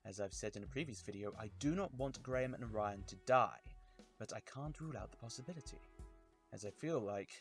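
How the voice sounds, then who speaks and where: A man's voice: on the low side, expressive, brisk, faint music behind. A man delivers scripted commentary to viewers as a video host, leaning dramatically on key words. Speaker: YouTube reviewer and host.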